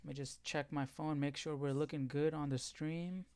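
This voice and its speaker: Clear-voiced man chatting casually on a livestream. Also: a little high, fairly even intonation, mellow, no room sound. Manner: informal, halting, thinking aloud while setting up. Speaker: host of a live Bible study stream.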